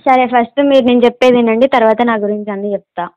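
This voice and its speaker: A woman's voice: a little high, fairly even intonation, very fast, darkish tone, dry recording. A woman prank caller chatting casually on the phone in a coy, insistent, teasing way. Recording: slightly dull, dry phone call audio.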